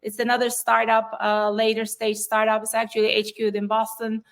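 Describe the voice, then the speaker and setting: A woman with a Turkish accent talks through her work and the technology her company offers. Her voice sits a little high for a woman, and she explains things patiently, often stopping on fillers and starting sentences again. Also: monotone, normal speed, creaky. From Turkey. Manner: explanatory, many fillers and restarts. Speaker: cybersecurity executive guest. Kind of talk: interview answers.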